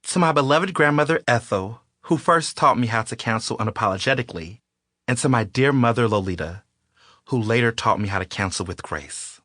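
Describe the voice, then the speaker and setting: A man narrates his own nonfiction audiobook, his pitch rising and falling as he leans into his points. The studio sound is dry and close, with no room echo. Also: lively intonation, brisk, fairly bright tone.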